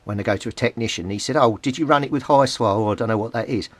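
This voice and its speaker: A man's voice: medium pitch, fast talker, no echo. A British man giving an informal, hands-on tutorial, explaining things step by step and repeating the key points. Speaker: CB radio hobbyist giving a tutorial. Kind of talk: informal hands-on instructional talk.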